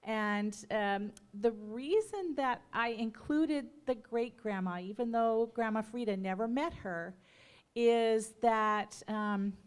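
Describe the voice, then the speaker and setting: A middle-aged woman speaking in a church at a family memorial, unscripted and halting, with self-corrections. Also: fairly high pitch, clean tone. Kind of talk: memorial remembrance talk.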